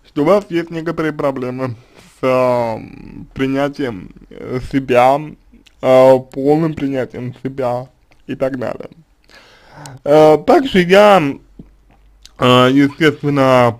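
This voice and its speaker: A man's voice: mid-range voice, a little mellow. A man giving spoken advice to a listener, hesitant, with many fillers and restarts. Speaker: psychologist answering a question.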